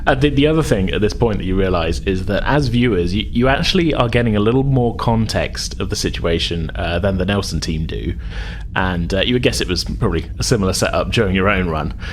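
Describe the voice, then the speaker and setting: A man's voice: deep voice, expressive, brisk, slight background noise. A man narrating casually as game master, informal and explanatory, feeling his way through sentences. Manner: hesitant, informal, explanatory, chuckling asides. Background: background music.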